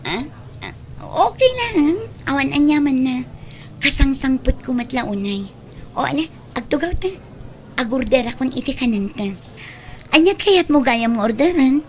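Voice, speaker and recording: An actress as a bold, scheming young woman in an Ilocano radio drama. Her voice is high, with a Filipino accent, and there is clear noise in the recording. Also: sing-song, normal speed, long pauses. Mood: shyly earnest.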